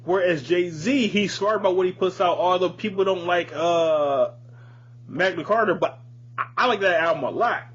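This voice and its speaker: A man's voice: sits high, moderate pace, a bit bright. A man co-hosting a podcast, chatting casually with blunt, profane opinions. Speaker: podcast co-host. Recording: dull lo-fi audio with hum and noise.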